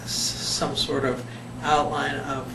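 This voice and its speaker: An older man, a retired psychologist, answering interview questions slowly and thoughtfully, pausing often. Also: medium pitch, little pitch movement, vocal fry. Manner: slow, thoughtful, with many pauses and fillers. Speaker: retired behavior psychologist, volunteer. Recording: echoey room, mic at a distance, background noise.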